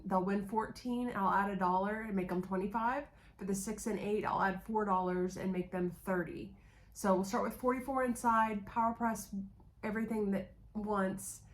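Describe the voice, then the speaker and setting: A woman's voice: mid-range voice, a little hiss or hum. A woman introducing a video in a chatty way with many fillers, getting over a cold. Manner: casual, filler-heavy, chatty. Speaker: craps channel host.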